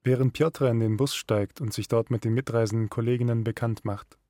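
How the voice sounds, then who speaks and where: A man's voice: medium pitch, fairly even intonation, brisk, dark tone, no echo, close to an everyday voice. A man narrating and playing a reserved, plain-spoken bus driver in a radio drama. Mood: matter-of-fact. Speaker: actor.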